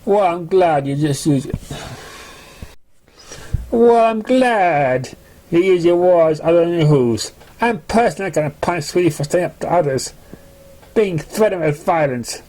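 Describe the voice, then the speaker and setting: Guy playing a firm pony parent telling others off, preachy, higher pitch, storybook. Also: expressive, unhurried, pauses often, warm tone, poor audio. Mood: admonishing. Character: firm pony guardian, approving yet admonishing other parents.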